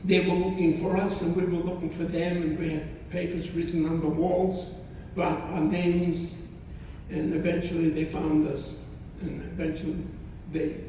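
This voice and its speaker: A man in his nineties, not a native English speaker, gives a halting testimony to students and often repeats his phrases. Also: fairly high pitch, mellow, slight background noise. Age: 90s. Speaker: Holocaust survivor speaker. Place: college hall. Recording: echoey hall from far off.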